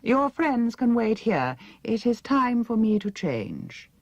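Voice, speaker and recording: A woman's voice: on the high side, sing-song, takes it slowly, darkish tone, no echo, dull audio, pitched above the performer's own voice, heavily acted. A woman voicing a vain young princess villainess in an audiobook, drawling and imperious, with cool menace. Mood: languid.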